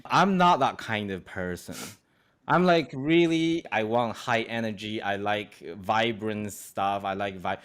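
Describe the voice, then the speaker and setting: A young man from China, a guest artist chatting casually in a lively, halting English that is not his first language, laughing often. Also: fairly low pitch, clear, resonant tone. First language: Chinese. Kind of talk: casual interview chat.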